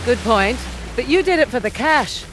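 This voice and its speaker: An actress playing a wry, easygoing, confident treasure hunter in a video game, bantering in a natural conversational way. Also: female voice, fairly high pitch, animated, normal speed, a little hiss or hum, lightly acted.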